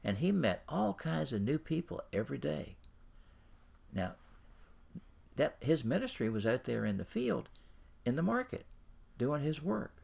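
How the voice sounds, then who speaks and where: A man's voice: mid-range voice, sing-song, measured pace, gravelly, long pauses, a little mellow. A man giving an informal instructional talk as a coach, speaking directly to the listener and repeating his phrases. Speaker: ministry and business coach.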